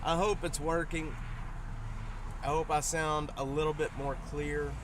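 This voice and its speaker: A man's voice: a little high, level tone, unhurried. A man from Texas with a Texas accent and a sore throat, rambling through casual live-stream commentary from a vehicle on city streets. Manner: rambling, with frequent restarts. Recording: noisy street and traffic.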